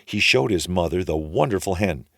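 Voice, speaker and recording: A man reading a children's story aloud as an expressive storyteller. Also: deep voice, normal speed, gravelly, no room sound, clean studio sound.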